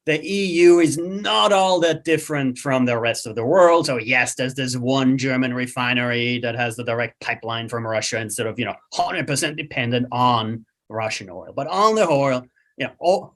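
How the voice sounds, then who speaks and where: A man with an Austrian accent, a guest expert explaining things in a podcast conversation, lively and thinking aloud with many "um"s and "right?"s. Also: medium pitch, moderate pace.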